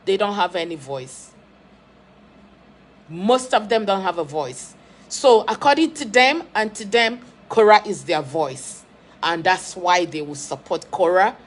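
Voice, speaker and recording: A woman hosting an opinionated livestream commentary, speaking emphatically and repeating phrases to drive her points home. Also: mid-range voice. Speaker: online video host and commentator. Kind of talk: opinionated social media commentary, livestream talk.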